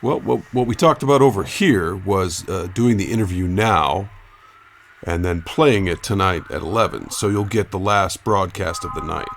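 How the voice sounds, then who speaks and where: A careful local radio reporter with a fairly low voice. He is hesitant and conciliatory, feeling his way through sentences in a naturalistic radio play, with faint noise around him. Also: vocal fry. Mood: careful, placating. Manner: hesitant, placating, halting. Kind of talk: naturalistic audio drama acting.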